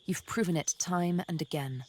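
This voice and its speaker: An actress voicing a vengeful, seductive elf assassin in a video game, deliberate and teasing, often murmuring. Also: female voice, on the low side, a little mellow, a little hiss or hum, lightly acted. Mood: flirtatious, intimate.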